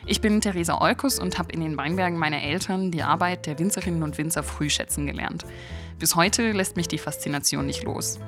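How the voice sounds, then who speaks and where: Low-voiced woman hosting a wine podcast. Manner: cheerful, laughs often. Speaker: podcast host. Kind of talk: scripted intro, then casual interview chat.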